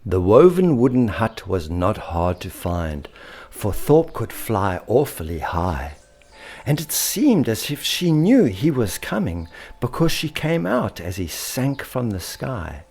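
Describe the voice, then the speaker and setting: A man narrating a children's story in an expressive, theatrical way, lingering on alliterative words. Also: fairly low pitch, slow, husky. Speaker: author and storyteller.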